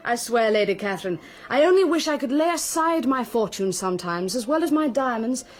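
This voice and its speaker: A woman playing a frank, sharp-tongued young heiress, arch and articulate, in a period radio drama. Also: a little high, animated, a little fast, natural voice. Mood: candid. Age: 20s.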